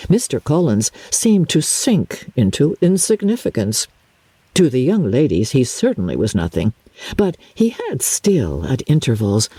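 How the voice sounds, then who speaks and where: A woman giving a dramatic, theatrical reading of classic literature, phrasing deliberately and leaning on key words. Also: very deep voice, warm tone, husky, dry recording. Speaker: audiobook narrator, radio host and actress. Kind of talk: dramatic scripted narration of a classic novel.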